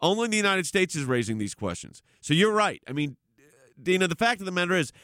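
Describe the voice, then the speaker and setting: A man's voice: high voice, very animated, fast, bright, forceful tone, no room sound. A man hosting a talk radio show, emphatic and rambling with frequent restarts. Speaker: radio host.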